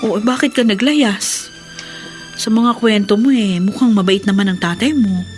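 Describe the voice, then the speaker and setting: An actress playing a kind, chatty and principled schoolteacher wife in a radio drama, speaking frankly and encouragingly. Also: female voice, medium pitch, normal speed, darkish tone, soft background music, natural voice. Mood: curious, generous. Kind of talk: Filipino radio drama acting.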